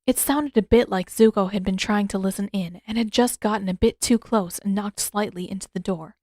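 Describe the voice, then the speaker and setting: A woman narrates scripted fiction in the manner of an audiobook. Her pitch is average for a woman, and the recording is close and free of room sound. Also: brisk, a little mellow, no echo. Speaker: narrator reading own fan fiction.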